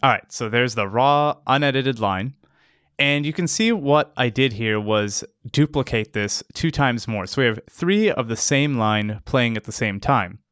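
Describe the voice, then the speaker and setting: A man's voice: medium pitch, lively intonation, measured pace, fairly bright tone, no echo, clean studio sound. A man hosting a casual instructional video, explaining step by step as a sound designer. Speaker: tutorial host, sound designer. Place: close, dry voice-over recording.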